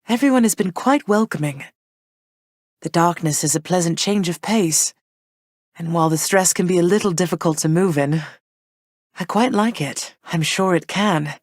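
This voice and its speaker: A woman voicing a gentle, thoughtful goddess queen and mother for a video game, speaking in a soft, measured, slightly formal way. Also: on the low side, dry recording, studio quality. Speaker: voice actress for a video game character. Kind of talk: recorded game voice lines.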